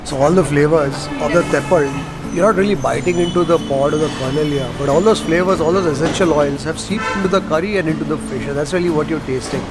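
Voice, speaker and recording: A man's voice: on the high side, quick pace, loud music behind the voice, low-quality recording. A middle-aged man with an Indian English accent, hosting a food vlog and explaining flavors at a restaurant table. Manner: descriptive, savoring, explanatory. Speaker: food vlogger host. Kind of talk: casual food-tasting commentary. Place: restaurant dining table, indoors.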